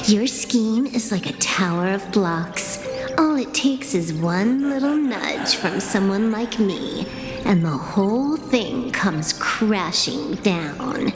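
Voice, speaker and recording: Game heroine: confident young hacker, challenging and measured, echoing hall sound, music underneath. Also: female voice, medium pitch, animated. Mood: defiant. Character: cool, defiant young female hacker, confident under threat. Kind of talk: dramatic video game voice acting. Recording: echoey reverberant space, music underneath.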